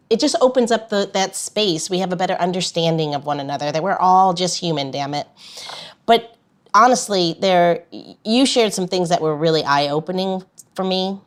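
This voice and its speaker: A woman's voice: lowish voice, normal speed. A woman hosting a podcast, speaking in an earnest, conversational interview style. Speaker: podcast host.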